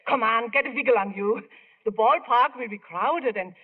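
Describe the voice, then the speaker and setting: Through an old narrow-band radio sound comes a bossy, proud old mother. Her pitch swoops as she nags, emphatic and scornful, in 1940s radio drama manner. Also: big pitch swings, unhurried, breathy edge, heavily acted. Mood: proud, insistent.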